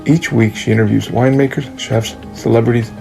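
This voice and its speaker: A man reading a scripted podcast introduction in a deep, gravelly voice. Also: fairly even intonation, brisk, a little mellow, poor audio. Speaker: podcast announcer. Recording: announcer read over music.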